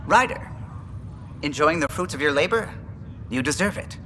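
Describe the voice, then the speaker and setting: Bright, courteous alien pilot. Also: male voice, a little high, expressive, normal speed. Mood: warm, thoughtful. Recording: long reverb, faint ambient noise.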